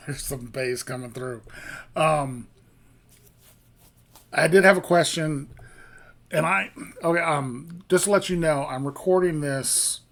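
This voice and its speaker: A man hosting a music reaction video, talking casually in a rambling, hesitant way with lots of fillers and restarts. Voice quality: fairly low voice.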